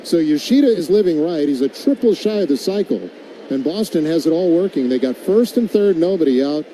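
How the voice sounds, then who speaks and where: A male American play-by-play announcer with a very soft, dark timbre and a slightly high pitch. He calls baseball live in radio style and chats casually between pitches, with some outdoor ambient noise behind him. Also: expressive, brisk, rough recording.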